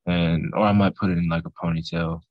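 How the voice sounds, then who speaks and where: Very deep-voiced teenage boy. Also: brisk, no echo, highs cut off. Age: teens. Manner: relaxed, rambling storytelling. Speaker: student. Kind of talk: casual group conversation.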